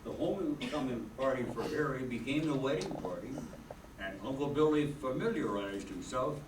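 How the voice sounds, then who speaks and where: A man playing the calm storytelling narrator of a radio drama, reading scripted narration. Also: medium pitch, moderate pace, lightly acted. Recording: noisy echoey room, far off.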